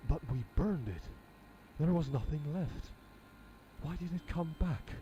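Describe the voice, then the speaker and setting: Man putting on a high, frightened child. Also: lively intonation, unhurried, warm tone, dull audio, slight background noise. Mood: scared. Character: frightened, innocent young child.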